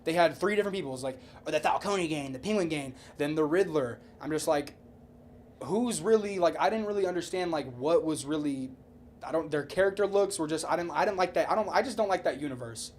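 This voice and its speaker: A man's voice: on the high side, a little fast, a little hiss or hum. A man co-hosting a podcast in casual, heated debate, opinionated and repetitive, with casual swearing. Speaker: podcast co-host.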